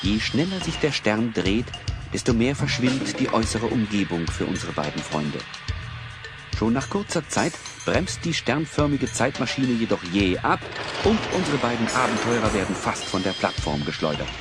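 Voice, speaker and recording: A man's voice: on the low side, sing-song, normal speed. A man giving dramatic scripted narration as the narrator of a German children's audio drama. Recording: loud music and effects bed.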